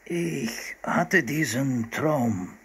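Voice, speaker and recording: A man voicing a calm, mysterious Arctic seal hunter in a children's storybook. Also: mid-range voice, drawn-out words, slightly rough, voice pushed up, heavily acted. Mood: calm, slow.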